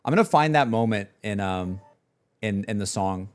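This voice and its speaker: A man chatting casually as a streamer reacting to music, with an excitable, slangy, rambling way of talking. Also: fairly low pitch, normal speed. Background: music playing behind the voice.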